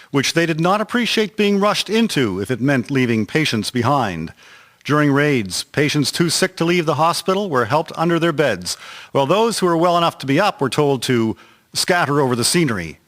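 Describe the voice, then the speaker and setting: A man's voice: fairly high pitch, sing-song, moderate pace, a bit bright. A man reading scripted narration for a historical radio drama. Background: instrumental music.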